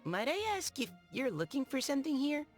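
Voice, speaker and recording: A woman's voice: sits high, sing-song, brisk, faint music behind. A woman voicing a shy, apologetic young woman who speaks hesitantly in a video game. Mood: nervous, curious. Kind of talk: scripted game voice acting.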